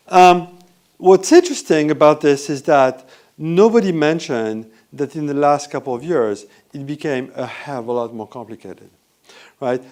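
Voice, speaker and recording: A man with a French accent giving a lively, conversational conference talk and often asking the audience questions. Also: mid-range voice. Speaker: conference speaker. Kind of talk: live conference presentation. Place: hotel event room.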